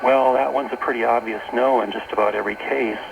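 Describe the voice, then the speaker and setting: An American man speaking as a radio interview guest, explaining haltingly and rephrasing as he goes, on a noisy shortwave tape. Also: medium pitch, fairly even intonation, gravelly, dull audio. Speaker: talk show guest, author and workshop leader. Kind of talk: unscripted radio interview talk.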